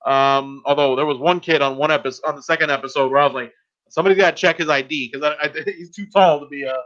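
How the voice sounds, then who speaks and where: Dull-sounding higher-pitched man chatting. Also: fairly even intonation, quick pace, fairly bright tone. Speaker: podcast co-host. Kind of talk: casual group chat. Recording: dull, lo-fi voice chat audio.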